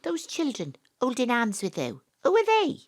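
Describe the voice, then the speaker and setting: A woman's voice: sits high, wildly swooping pitch, moderate pace, heavily acted. A woman playing an exasperated, inquisitive young investigator in an audio drama, exclaiming and questioning. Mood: excited.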